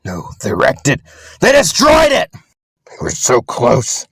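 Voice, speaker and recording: A man acting as a frantic, vengeful man in a game cutscene, ranting with a raspy, creaky voice. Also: lowish voice, lively intonation, a little slow, no room sound, clean studio sound, heavily acted. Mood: anguished, outraged. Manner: ranting, emphatic repetition. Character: frantic, vengeful man raging at ruin of plans.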